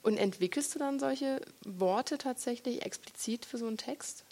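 A woman interviewing an author at a book reading, talking thoughtfully and pausing often to search for words. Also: fairly high pitch, darkish tone. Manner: reflective, searching for words with many pauses.

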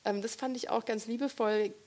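A woman interviewing an author at a book reading, talking thoughtfully and pausing often to search for words. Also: fairly high pitch, steady tone, darkish tone. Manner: reflective, searching for words with many pauses.

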